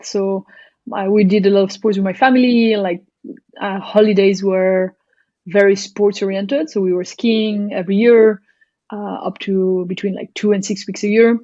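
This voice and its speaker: A woman's voice: medium pitch, fairly even intonation, normal speed, warm tone, no room sound, dull audio. A woman from Belgium, a startup founder, talking as a podcast guest, thoughtful and hesitant, with many fillers. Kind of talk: casual interview chat.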